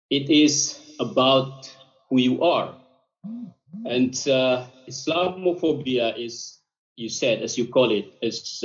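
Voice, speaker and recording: A man from Indonesia asking a question on a video call, polite and hesitant with many fillers. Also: medium pitch. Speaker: audience member asking a question. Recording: muffled video call audio.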